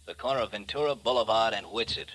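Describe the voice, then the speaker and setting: An actor playing an obliging, good-natured fire battalion chief in a 1950s radio drama, hearty and plain-spoken. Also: male voice, lowish voice, lively intonation, quick pace, fairly bright tone, low-quality recording. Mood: hearty, helpful.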